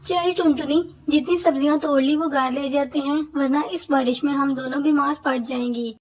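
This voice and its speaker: A woman voicing a practical, motherly older female bird in a Hindi cartoon, gently chiding. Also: high-pitched, fairly even intonation, moderate pace, faint music behind. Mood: brisk, practical. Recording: clearly noisy dialogue track.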